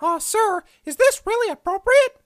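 A woman's voice: very high-pitched, animated, normal speed, no room sound, clean studio sound. A woman voicing a timid, proper, worried onlooker in an anime parody. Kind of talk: anime parody dub acting.

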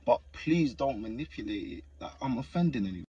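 A man's voice: mid-range voice, big pitch swings, fairly quick, dark tone, slightly rough, no room sound, recorded from a distance, lo-fi sound. A young man, filming himself on a phone in a car, talking in a frustrated, defensive way. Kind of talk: selfie video rant. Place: inside a car. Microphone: phone at arm's length.

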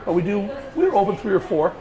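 A middle-aged man, a winery owner, answering interview questions in a relaxed, chatty way with many small pauses, on a lapel mic in an indoor tasting room. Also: high voice, lo-fi sound. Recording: lapel mic with busy crowd noise behind. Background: crowd chatter.

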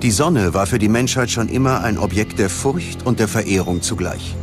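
A man with a fairly low voice delivers scripted, dramatic documentary narration. Prominent music plays behind him. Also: moderate pace.

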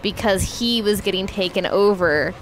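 A woman's voice: medium pitch, normal speed, background noise. A woman co-hosting a casual reaction show, thinking aloud in a puzzled way.

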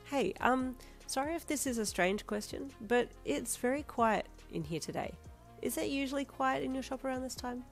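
A woman's voice: on the high side, expressive, fairly quick, hardly any pauses, faint music behind, voice pushed up. Woman acting a peppy, easily annoyed young warrior. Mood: bright, polite.

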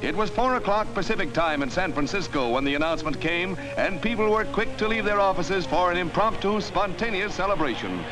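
A man's voice: sits high, animated, fairly quick, few pauses, poor audio. A man narrating a 1940s newsreel in an exuberant, dramatic announcer's delivery. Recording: old newsreel soundtrack with music.

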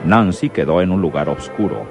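A man's voice: low voice, expressive, moderate pace, gravelly, over music. A man with a Mexican accent narrating a scripted radio serial.

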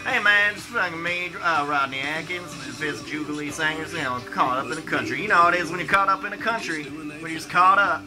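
A man's voice: fairly high pitch, brisk, few pauses. A man in his twenties hosting a casual comedic cooking vlog, talking in a slangy, profane, self-mocking way. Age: 20s. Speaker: host of a home cooking show. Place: small home kitchen indoors. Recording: music playing behind, food frying. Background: music, sizzling pan.

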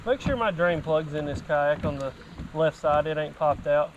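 A young man vlogging from his kayak on a creek, excitable and quick to exclaim. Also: on the high side, muffled recording, slight background noise. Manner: excitable, exclaims and laughs often. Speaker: fishing vlogger. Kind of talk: excited outdoor chat.

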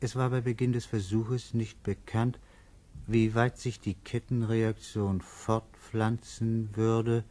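A man reading interludes as a radio play narrator, measured and grave. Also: lowish voice, little pitch movement, warm tone. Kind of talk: solemn scripted radio narration.

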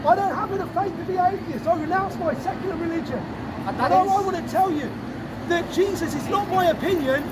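A middle-aged British man preaching loudly in the open air on a busy city street corner, proclaiming his testimony into a mic. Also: very high voice, fairly quick, far from the mic. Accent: British English. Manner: loud, proclaiming, repetitive. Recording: loud traffic and crowd noise.

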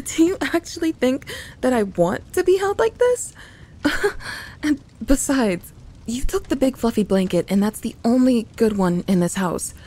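Breathy, mellow tsundere tomboy played by a woman. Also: sits high, big pitch swings, measured pace, full character voice. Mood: flustered, defensive. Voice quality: soft, breathy. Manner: grumbling, flustered, sighing. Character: young tsundere tomboy best friend, grumpy but secretly affectionate. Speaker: audio roleplay voice performer. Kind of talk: intimate ASMR audio roleplay, often dropping to a whisper.

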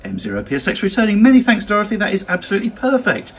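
A man's voice: high voice, wildly swooping pitch, rapid-fire, a bit bright, slight background noise. A British man giving an instructional demonstration as an amateur radio operator and trainer. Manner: spells call signs in phonetic alphabet.

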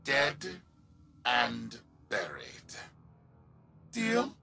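A man playing a scheming corporate villain in a sci-fi game, speaking in a smug, drawn-out, theatrical way. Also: medium pitch, wildly swooping pitch, pushed and bright, faint music behind, full character voice. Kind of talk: sci-fi game character message.

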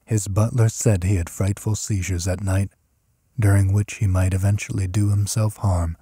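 A man reading a horror story as an audiobook narrator, measured and solemn in his delivery. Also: sits low, level tone, dark tone, breathy edge, no echo, studio quality.

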